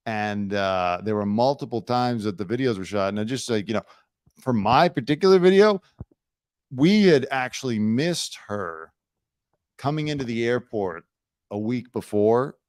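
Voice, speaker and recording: Guy hosting a chat show, smooth clear voice, dry mic, chatty storyteller. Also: medium pitch, expressive, moderate pace, darkish tone, no room sound. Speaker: host. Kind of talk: casual chat.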